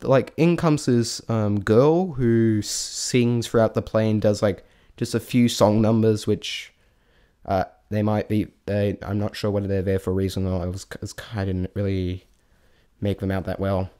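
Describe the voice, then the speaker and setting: A man's voice: lowish voice, fairly even intonation, a little slow, dark tone, smooth. A man giving a casual, rambling spoken review, often restarting his sentences and stating blunt, informal opinions. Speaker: vlogger reviewing a stage show.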